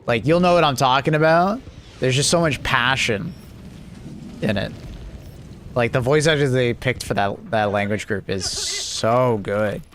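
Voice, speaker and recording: A man's voice: mid-range voice, measured pace, fairly bright tone, a little hiss or hum. Rambling young man streamer, casual. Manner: rambling, full of "like" and restarts. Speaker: livestream host. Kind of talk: casual chat while gaming.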